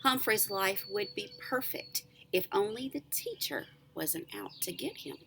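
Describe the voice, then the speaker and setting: A woman, a teacher reading a children's book aloud in an expressive, animated storytelling way. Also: mid-range voice, a little slow, vocal fry, slight background noise.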